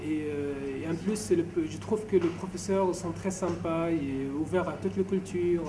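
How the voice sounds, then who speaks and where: A man's voice: on the high side, normal speed. A man in his twenties with a Saudi Arabic accent, giving a hesitant student testimonial in a second language. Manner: hesitant, with long phrases broken by fillers. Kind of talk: spoken testimonial. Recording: distant mic, clear background noise.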